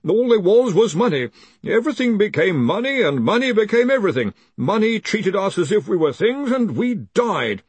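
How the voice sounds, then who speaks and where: A man's voice: high voice, sing-song, normal speed, husky, dry recording, voice pushed up, heavily acted. A man voicing a timid, panicky young wizard in an audiobook, hesitant, apologetic and stammering to his superior. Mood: panicked. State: panting.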